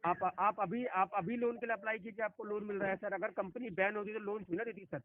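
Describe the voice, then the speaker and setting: A man's voice: high voice, fairly even intonation, fast talker. A man working as a loan company phone agent, arguing insistently and often restarting and repeating his phrases. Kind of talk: argumentative phone conversation. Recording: noisy narrowband phone call recording.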